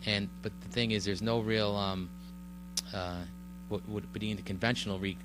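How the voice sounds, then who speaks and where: A man speaking at a village board meeting, explaining a road project in a halting way with many fillers and restarts. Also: on the low side, steady tone, over music, rough recording. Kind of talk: public meeting testimony. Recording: mains hum under the voice.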